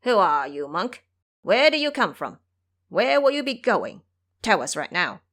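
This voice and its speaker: A woman's voice: medium pitch, big pitch swings, a little slow, a bit bright, exaggerated voice. A woman voicing a mighty old male monster king in an audio drama, commanding, boastful and gloating. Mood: menacing, roaring.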